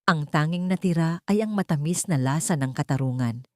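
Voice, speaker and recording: A woman's voice: low voice, moderate pace, dry recording, studio quality. A woman narrator reading a dramatic scripted story.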